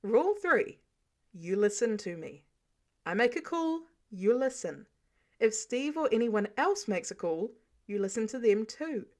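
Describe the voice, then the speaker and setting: A woman voicing a stern, protective man who lays down rules in a sarcastic, bossy way for a story reading. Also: fairly high pitch. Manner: sarcastic, bossy, clipped commands. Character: stern, exasperated, protective adult man, mentor laying down rules.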